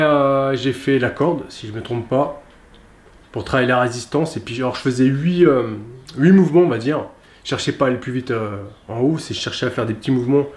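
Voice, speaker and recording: Man, rambling in an echoey gym. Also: mid-range voice. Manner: relaxed, rambling, many filler pauses. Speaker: fitness vlogger. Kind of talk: casual workout vlog recap.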